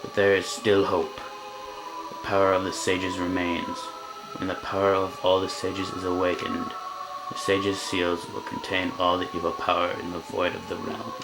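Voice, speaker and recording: A man's voice: deep voice, completely flat delivery, moderate pace, rough recording. A man voicing a solemn old sage from a fantasy video game, speaking in portentous storytelling fashion over music.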